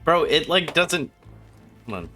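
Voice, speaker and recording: A man's voice: fairly high pitch, sing-song, measured pace, bright, forceful tone, faint music behind. A man livestreaming a video game with casual, sweary, slangy chat and reactions. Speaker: livestream gamer host.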